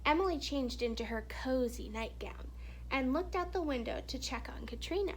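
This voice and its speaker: A woman reading a children's story aloud in an expressive, animated storytelling manner with hushed, dramatic phrasing. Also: high-pitched, moderate pace, slight background noise, low-quality recording. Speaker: teacher or reader reading a children's picture book aloud.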